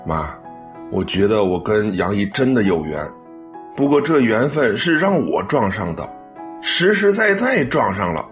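A man's voice: lowish voice, sing-song, unhurried, faint music behind. A man acting a devoted, caring young adult son in a Chinese radio drama, speaking earnestly and expressively.

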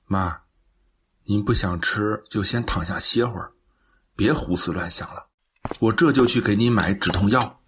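A man's voice: lowish voice, normal speed, a bit bright, slightly rough. A man playing a nervous, evasive but dutiful son in a radio drama, speaking in a hesitant, flustered way. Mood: soothing.

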